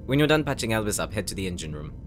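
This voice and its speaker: A man's voice: on the low side, big pitch swings, racing. A man acting in an audio roleplay as a young engineer, a weary brother with a dry, clipped, formal way of talking. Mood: exasperated. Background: music.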